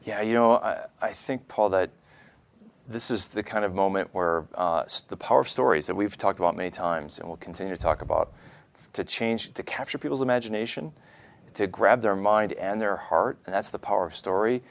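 Male talk host, deep voice, earnest and conversational with halting phrasing. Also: creaky. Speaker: show host.

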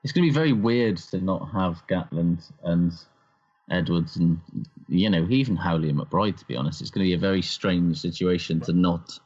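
A man's voice: low-pitched, normal speed, darkish tone, dull audio. A man co-hosting a casual sports podcast, chatting informally. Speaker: podcast co-host. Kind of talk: casual rugby chat.

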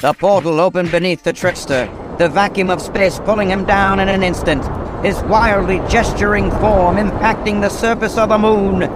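A man playing the storyteller of an epic radio mystery, narrating with grave, dramatic, deliberate phrasing. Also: high-pitched, fairly even intonation, heavy background noise, natural voice. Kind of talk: scripted old-time radio drama narration. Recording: music behind the narration.

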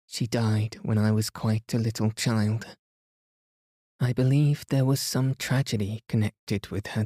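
Storybook heroine: a composed, quietly authoritative young lady with a dark, mellow tone, voiced by a male narrator. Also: on the low side, no echo, clean studio sound. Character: poised reserved young Englishwoman, grave.

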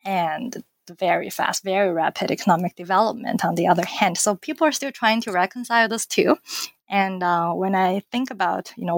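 A woman's voice: fairly low pitch, vocal fry, no echo. Thoughtful woman from China, dry sound. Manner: thoughtful, many fillers and restarts. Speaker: author and political scientist. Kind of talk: academic interview answer.

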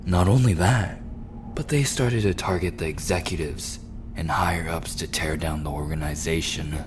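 A man playing a smug, menacing mafia prince in an immersive roleplay audio drama, possessive and coolly charming, with deliberate teasing threats and breathy murmurs. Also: sits low, lightly acted. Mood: cold, grave. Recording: clearly noisy background ambience.

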